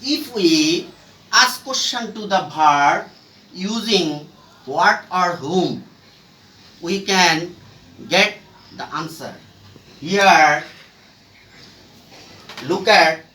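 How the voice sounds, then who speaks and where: A man with a Bangladeshi accent giving a formal classroom lecture as a teacher, in a deliberate, halting phrase-by-phrase way, recorded in an echoey room. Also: high voice, pushed and bright. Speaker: English grammar teacher. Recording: echoey room, distant mic, faint noise.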